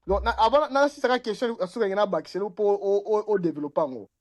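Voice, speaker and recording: A man's voice: high-pitched, quick pace. A man, hosting and asking a long, rambling question with hesitant restarts. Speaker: podcast host. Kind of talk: conversational interview questioning.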